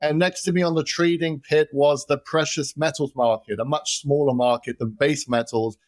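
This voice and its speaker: Energetic London man, dry sound. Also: fairly high pitch, moderate pace, dry recording. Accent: London English. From London, England. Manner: energetic, repeats words when starting sentences. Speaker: guest, gold investment expert. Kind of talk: casual interview talk.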